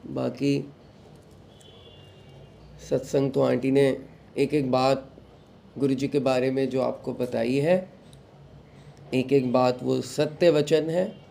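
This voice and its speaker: Mellow-voiced man giving a devotional talk. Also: medium pitch, takes it slowly, vocal fry, long pauses, slight background noise. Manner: mixes in English phrases, calm advising tone. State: recovering sore throat. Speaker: satsang host. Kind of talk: devotional talk, addressing the followers.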